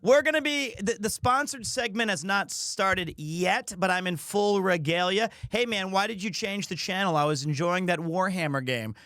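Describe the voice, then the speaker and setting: A man hosting a livestream, talking casually to his audience in a rambling, jokey, expressive way. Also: high voice, normal speed, hardly any pauses, no echo, studio quality. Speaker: livestreamer and host. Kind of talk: casual chat to a stream audience.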